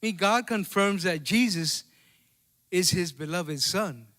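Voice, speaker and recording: Man, Samoan accent, high voice, pastor, bilingual sermon, conversational. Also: unhurried, a bit bright. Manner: conversational preaching with tag questions. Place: church service.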